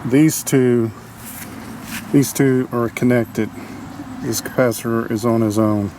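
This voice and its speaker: A man giving a casual, unscripted hands-on repair demonstration, halting as he thinks aloud. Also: medium pitch, steady tone, dark tone. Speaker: hobbyist radio restorer demonstrating a repair. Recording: clearly noisy amateur video sound.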